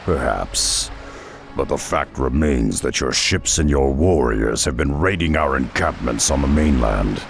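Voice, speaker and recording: An actor playing a gruff, stoic hulking half-ogre warrior in a video game, with a gravelly, very deep voice and solemn, formal phrasing. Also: male voice, expressive, unhurried, music in the background, exaggerated voice. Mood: stern, grave. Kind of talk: video game cutscene acting.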